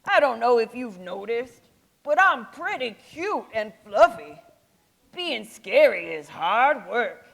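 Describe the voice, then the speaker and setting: A woman playing a gentle, timid, cute fluffy Yeti in a children's puppet show, speaking hesitantly with a creaky voice. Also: on the high side, sing-song, slow pace, full character voice. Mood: hesitant, resigned. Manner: hesitant, reluctant, mild-mannered.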